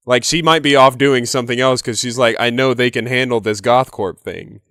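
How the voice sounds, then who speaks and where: A man's voice: mid-range voice, steady tone, moderate pace, a bit bright, no echo. Man chatting casually, dry close sound. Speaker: player in a tabletop role-playing game. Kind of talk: casual tabletop game chat.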